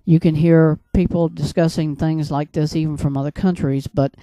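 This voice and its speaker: An American man speaks as a broadcaster delivering solo commentary. His voice is mellow and rather dark, recorded dry with very little room sound. He is earnest and admonishing, moving haltingly and circling back to repeat phrases for emphasis. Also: on the high side, fairly even intonation, no room sound, rough recording. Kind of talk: religious and political commentary monologue.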